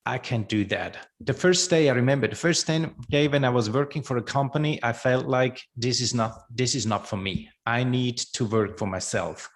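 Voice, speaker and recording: A middle-aged man from Switzerland, a podcast guest, speaking slowly and haltingly with many restarts; his is not English. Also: mid-range voice. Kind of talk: podcast interview.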